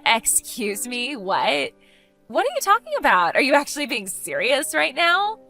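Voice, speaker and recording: A woman's voice: high-pitched, wildly swooping pitch, moderate pace, fairly bright tone, faint music behind, exaggerated voice. A woman voicing a catty, condescending, gossipy sister-in-law in an exaggerated, melodramatic style for a dramatized story. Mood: shocked disbelief. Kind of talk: dramatized text-message story voice acting.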